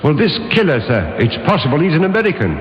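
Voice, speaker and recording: A man's voice: on the high side, big pitch swings, quick pace. A man playing an eager, deferential police sergeant in 1950s radio drama style. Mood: eager, speculating. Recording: muffled echoey old radio, music behind.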